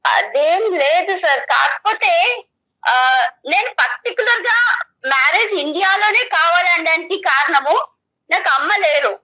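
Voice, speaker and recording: A woman's voice: very high-pitched, expressive, unhurried, pushed and bright, rough recording. A young woman, pleading her personal case in an insistent, emotional way. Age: young adult. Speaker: guest seeking counselling.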